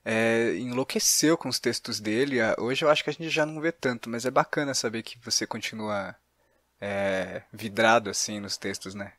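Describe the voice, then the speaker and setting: A man's voice: lowish voice, normal speed, fairly bright tone, dry recording. A man with a Brazilian accent interviewing as a podcast host, in a thoughtful, informal conversational way.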